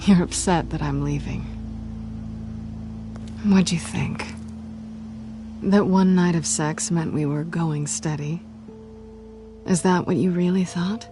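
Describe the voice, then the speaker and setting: A woman's voice: lowish voice, fairly even intonation, takes it slowly, long pauses. A woman playing a sly, cynical cat burglar in a dramatic video game, teasing and coolly dismissive. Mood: mocking. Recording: background music under the voice.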